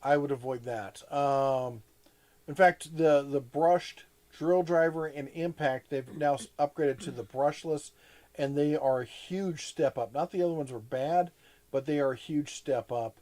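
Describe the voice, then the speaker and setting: Guy hosting a chill livestream, rambly, keeps restarting, pretty excited. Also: fairly high pitch, measured pace. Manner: rambling, restarts often, enthusiastic. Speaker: host. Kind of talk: casual livestream chat.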